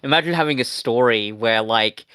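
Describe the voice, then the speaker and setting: A man co-hosting a review podcast in casual discussion, talking haltingly with a wry, sweary manner. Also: mid-range voice, fairly bright tone, clean tone, no echo, low-quality recording. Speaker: podcast co-host reviewing audio dramas.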